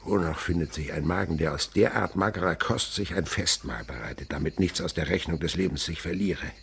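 A rural naturalist father with a low, gravelly voice that swings widely in pitch. He lectures children with lyrical, theatrical fervor, as radio drama, over faint background noise. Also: brisk, fairly bright tone. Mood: tender wonder. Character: enthusiastic insect researcher, rural father, impassioned and lyrical. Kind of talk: German radio drama acting.

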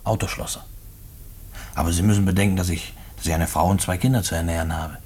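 A condemned working man in a radio drama. His voice is low and husky, and he talks haltingly, circling back on his words as he broods. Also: fairly even intonation, a little mellow. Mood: agitated, brooding. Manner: halting, repeating himself. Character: brooding condemned prisoner, a working-class husband and father. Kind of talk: German radio play acting.

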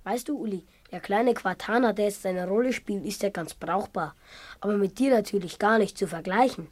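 A woman's voice: medium pitch, normal speed, darkish tone, lightly acted. Matthias, a woman as a brash, hungry schoolboy. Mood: chummy. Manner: slangy, brash, chummy. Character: Matthias, hearty, always hungry, boisterous schoolboy. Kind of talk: German radio play acting.

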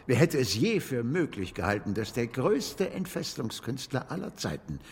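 Irascible old gentleman author, brusque, imperious, very lively intonation, radio drama, noisy background. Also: medium pitch, moderate pace, big-room sound. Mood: mournful, admiring. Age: older. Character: irascible elderly English gentleman author, gruff, easily nostalgic. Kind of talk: German radio drama acting.

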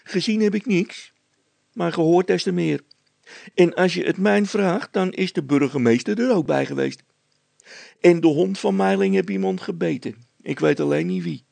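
Man voicing a high-pitched cocky poacher boy. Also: moderate pace, vocal fry, a little mellow, no echo, exaggerated voice. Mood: boastful, confiding. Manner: cheeky, stubborn, boastful, colloquial.

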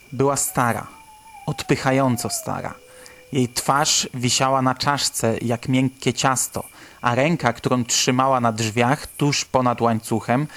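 A man's voice: medium pitch, a bit bright. A man reading scripted literary narration for a radio drama.

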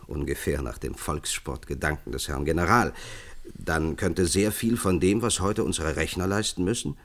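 An earnest expert in a science-fiction radio play. His voice is very low, and he speaks formally, lecturing and persuading as if laying out a grand project. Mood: persuasive. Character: earnest male science expert pitching a visionary project to leaders. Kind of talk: German science-fiction radio drama acting.

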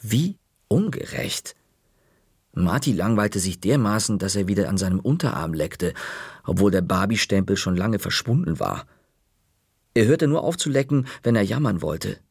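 Male audiobook narrator, husky voice, dry close studio sound. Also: on the low side, normal speed, no echo. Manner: lively storytelling. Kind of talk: first-person children's book reading.

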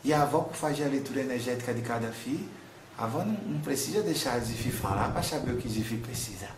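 A wise, soothing old grandmother spirit, as a male medium speaks for her, counselling in a tender voice of middle pitch. She keeps calling her listener son. The sound bounces around an echoey room with a faint hiss underneath. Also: expressive, quick pace, close to an everyday voice. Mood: gentle.

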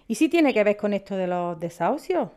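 A woman's voice: mid-range voice, sing-song, brisk, dark tone, close to an everyday voice. A woman playing a practical, caring, teasing middle-aged bar woman in a Spanish audio drama, with a Spanish accent. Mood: concerned, firm.

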